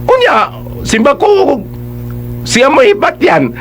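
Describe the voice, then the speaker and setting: A man's voice: very high voice, very animated, takes it slowly, a bit bright, soft background music. A man with a Cebuano accent giving conversational, emphatic advice as a radio host.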